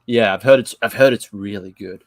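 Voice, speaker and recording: A man's voice: lowish voice, fairly quick, dry recording, clean studio sound. A man co-hosting a casual review chat, talking in a rambling, informal and repetitive way. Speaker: show co-host.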